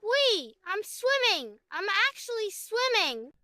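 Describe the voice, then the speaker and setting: Storybook snowman: wobbly, friendly, eager, bright and squeaky. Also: big pitch swings, slow, dry recording, clean studio sound, exaggerated voice. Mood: delighted. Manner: cheerful, eager. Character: friendly hopeful young male snowman.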